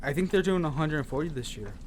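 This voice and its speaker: A man's voice: a little high, expressive, fast talker, slight background noise, lightly acted. A man playing an eager, slightly whiny young squad member in an audio drama, casual and questioning.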